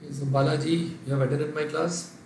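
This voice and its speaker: A man's voice: a little high, fairly even intonation, brisk, a little mellow, roomy sound, a little hiss or hum. Indian man teaching a live class. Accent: Indian English. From Kerala, India. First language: Sindhi. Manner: dictates steps, reads out viewers' names and chats to them. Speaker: finance lecturer. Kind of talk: live online revision lecture.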